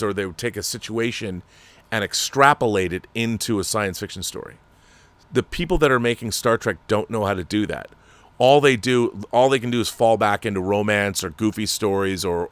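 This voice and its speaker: A man's voice: lowish voice, a bit bright, no room sound. A man hosting his channel and reading viewer letters, ranting with exasperation and repeating words as he talks off the cuff. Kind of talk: unscripted commentary.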